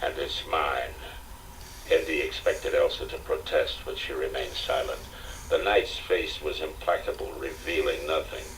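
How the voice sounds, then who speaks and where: Very deep-voiced male audiobook narrator. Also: steady tone, normal speed. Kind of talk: dramatic scripted narration. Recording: distant, noisy cassette audiobook recording.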